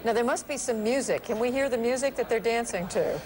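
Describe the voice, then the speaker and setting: A woman's voice: on the high side, brisk, darkish tone, poor audio. A woman co-anchoring a live news broadcast, chatty and light. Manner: light, chatty, laughs easily.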